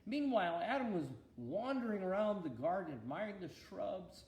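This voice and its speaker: A man narrates a children's Bible story in a deliberate, expressive storytelling style. His voice sits a little high and moves with lively variation, heard in an echoey room. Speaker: bishop reading a story aloud to a listener.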